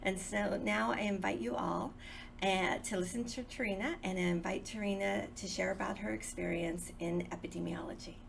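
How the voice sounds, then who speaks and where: A woman's voice: medium pitch, fairly even intonation, normal speed, vocal fry, slight background noise. A woman, the program coordinator, formally introducing a speaker in an admiring tone with some ums.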